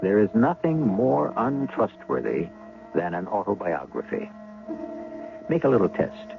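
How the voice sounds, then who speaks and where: Deep-voiced male host for an old radio mystery, wry and measured, lively pitch, tinny broadcast. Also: creaky. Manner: wry, measured, addressing listeners. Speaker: host and narrator. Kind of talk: radio drama host narration.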